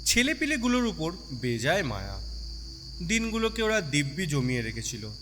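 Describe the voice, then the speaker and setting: A man narrating a story in an expressive, dramatic storytelling style with sympathy in his delivery. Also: on the high side, moderate pace. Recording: long reverb, background night insect noise.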